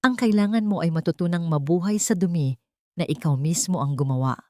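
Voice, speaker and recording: Audio drama wronged wife played by a female narrator, Filipino, resolute, gentle yet cutting, lowish dry voice. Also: fairly quick, no room sound, lightly acted. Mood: calm, dismissive.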